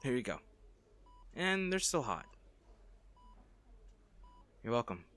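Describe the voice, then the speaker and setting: A man playing a kind, bashful stranger in an intimate audio roleplay, speaking gently and playfully with some flustered hesitation. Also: medium pitch, big pitch swings, takes it slowly. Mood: caring, playful. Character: kind, bashful male stranger comforting an injured crash survivor.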